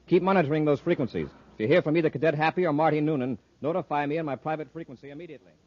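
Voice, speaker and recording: Casting: male actor for crisp, fast-talking American space commander, authoritative radio-serial hero; muffled, noisy vintage recording. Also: a little high, natural voice. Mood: brisk, urgent. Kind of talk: 1950s radio serial acting.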